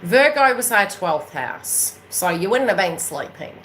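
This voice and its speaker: A woman's voice: medium pitch, very animated, unhurried, fairly bright tone, slight background noise, rough recording. A woman from New Zealand with a Kiwi accent, chatting casually and unscripted to her viewers as an astrology reader, in an emphatic, rambling way that keeps checking they follow. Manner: emphatic, rambling, often checking in with tag questions. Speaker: astrology reader and spiritual coach hosting her own channel.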